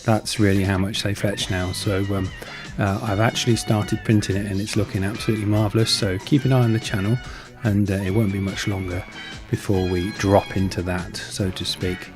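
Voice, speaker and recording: A middle-aged man talks casually as the host of a hobby build video. His voice sits on the low side. Light music plays behind him. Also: little pitch movement, normal speed. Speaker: host of a prop-making channel.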